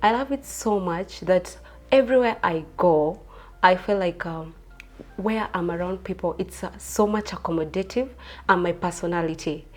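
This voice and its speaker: Soft-spoken young woman from Kenya. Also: on the low side, moderate pace. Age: 20s. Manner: earnest, soft-spoken, with frequent uh and um. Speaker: pageant contestant. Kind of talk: interview answers.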